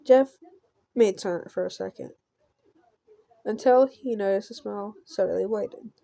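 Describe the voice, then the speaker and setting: A woman reading visual novel text aloud in an amateur let's play, halting and often repeating words as she goes. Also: medium pitch, gravelly, mellow, no room sound.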